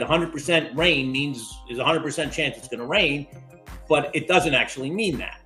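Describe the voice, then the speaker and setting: A man as the guest in a casual podcast chat, explaining his reasoning in a measured way, restarting often and checking in with "right?". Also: fairly high pitch, fairly bright tone.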